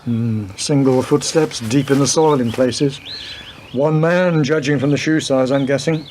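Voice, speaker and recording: An actor playing a weary, wry senior police detective in a radio play, speaking in a dry, deliberate way. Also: male voice, mid-range voice, steady tone, darkish tone, slight background noise, natural voice. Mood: brisk, analytical.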